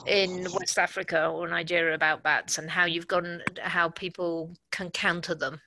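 A woman's voice: lowish voice, flat delivery, normal speed, background noise. A woman moderating a casual webinar on a video call, speaking in a tentative, rambling way.

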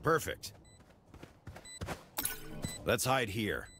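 An actor playing a commanding military squad leader in a game, giving terse tactical orders in a gravelly voice. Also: male voice, fairly low pitch, wildly swooping pitch, extremely slow, sharp tone, soft background music, heavily acted. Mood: focused. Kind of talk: tactical game tutorial dialogue.

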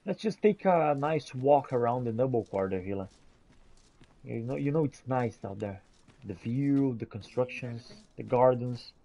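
Mellow-voiced man roleplaying in a fantasy game. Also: mid-range voice, animated, a little slow, creaky, rough recording. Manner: theatrical archaic phrasing mixed with casual talk. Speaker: gamer roleplaying as the player character. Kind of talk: in-character roleplay commentary over fantasy game.

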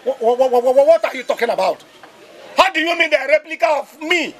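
A man's voice: extremely high pitch, animated, fast talker, slight background noise. An actor playing an irascible middle-aged village father in a Nigerian comedy film, with a Nigerian accent and a blustering, repetitive delivery. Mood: indignant. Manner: repeats words emphatically, blustering.

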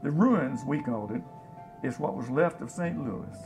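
An actor playing a folksy, plain-spoken, hopeful scavenger in a radio drama about survivors of a disaster. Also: male voice, medium pitch, animated, normal speed, over music, lightly acted. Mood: reflective, matter-of-fact. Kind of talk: radio drama, interview monologue.

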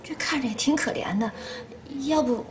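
A woman's voice: sits high, normal speed, natural voice. A woman playing a timid young stepmother. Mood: meek pleading. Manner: hesitant, pleading softly. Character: meek young stepmother, soft-spoken and timid.

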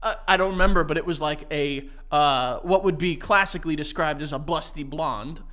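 A man's voice: fairly high pitch, normal speed, bright, forceful tone. A young man co-hosting a podcast in casual chat, joking and laughing often, talking into a desk mic in a small studio. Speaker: podcast co-host. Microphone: desk mic on stand.

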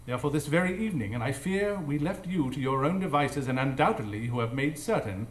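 An actor playing a stern, agitated analytical detective, formal and accusing, in a live radio drama. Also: male voice, a little high, expressive, brisk, lightly acted. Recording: roomy live stage echo.

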